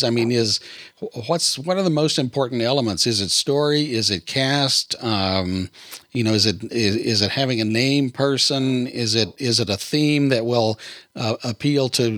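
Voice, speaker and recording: A man's voice: medium pitch, a bit bright, dry recording. Man interviewing, close dry sound. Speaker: podcast host interviewer. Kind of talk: conversational interview.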